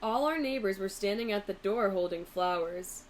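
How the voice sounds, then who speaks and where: A woman narrating a children's storybook aloud in an expressive, deliberate way. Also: medium pitch, a little hiss or hum, poor audio. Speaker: teacher reading a picture book aloud.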